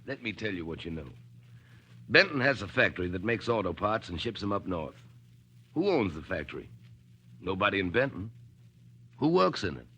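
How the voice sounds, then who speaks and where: Forceful, earnest priest. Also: male voice, sits low, big pitch swings, moderate pace, pauses often, slightly rough, full character voice. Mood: insistent, blunt. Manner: insistent, admonishing. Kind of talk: 1940s radio drama acting.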